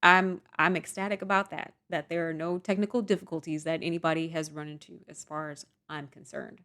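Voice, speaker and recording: A young woman vlogger rambling softly and self-deprecatingly through a casual storytime monologue. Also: deep voice, flat delivery, brisk.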